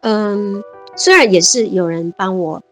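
A woman in her fifties speaks Mandarin with a Taiwanese accent at a middle pitch. She reminisces earnestly, with warm exclamations breaking into her storytelling. Faint music sits low behind her. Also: measured pace. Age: 50s. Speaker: interview guest.

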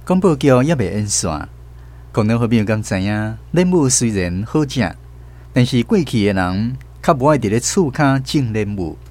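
Taiwanese man narrating radio show, expressive intonation, scripted, faint music. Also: mid-range voice, moderate pace. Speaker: host and narrator.

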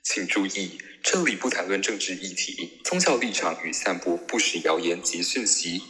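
A man's voice: low voice, expressive, moderate pace, pushed and bright. A man hosting a show, reading a scripted introduction. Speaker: show host. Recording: echoey hall sound. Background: music.

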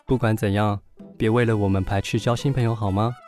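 An actor playing a sensible, reassuring young adult son who reasons calmly, in an audio drama. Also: male voice, lowish voice, fairly quick, soft background music, natural voice.

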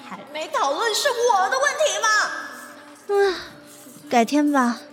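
Woman voicing a young female clerk, stammering, easily rattled, high lively voice, radio drama. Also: unhurried, soft background music, heavily acted. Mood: exasperated. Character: flustered young female office worker on probation. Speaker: voice actor in an audio drama. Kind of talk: modern audio drama acting.

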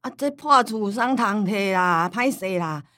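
A woman's voice: mid-range voice, expressive, measured pace, sharp tone, dry recording, studio quality. An actress with a Taiwanese accent playing a confused, grumbling old grandmother in a radio drama.

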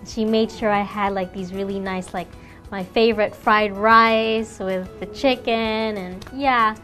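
A woman's voice: medium pitch, fairly even intonation, a little slow, fairly bright tone, soft background music. A young woman from Taiwan co-hosting a radio English lesson, chatty and friendly, sharing personal stories. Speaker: co-host. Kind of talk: casual radio lesson chat.

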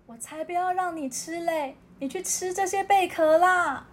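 A woman voicing a small nervous sea otter in a storybook, speaking high and soft. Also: normal speed. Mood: defiant.

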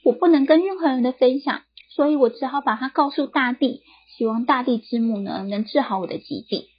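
A woman's voice: high voice, animated, moderate pace, muffled recording, voice pushed up. A woman doing a fretful young barber. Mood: pleading. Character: young male barber, anxious and meek.

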